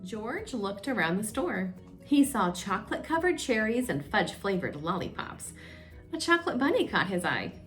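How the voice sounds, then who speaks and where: A woman's voice: medium pitch, very animated, moderate pace, fairly bright tone, faint music behind. A woman reading a children's storybook aloud as a story time host, expressively, drawing out suspenseful phrases.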